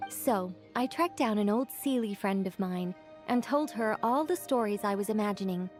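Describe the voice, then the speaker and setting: A woman's voice: mid-range voice, natural voice. A woman voicing an elegant, teasing sorceress. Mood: composed. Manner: measured, elegant, gently teasing. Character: elegant worldly woman, playful and composed. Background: faint game music.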